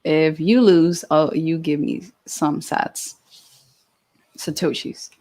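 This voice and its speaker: A woman's voice: low-pitched, fairly even intonation, slow pace, warm tone. A woman in her twenties hosting a casual livestream, chatty and giggly as she reads out and answers viewer questions. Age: 20s. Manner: giggly, chatty, with many fillers and restarts. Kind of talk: casual live chat.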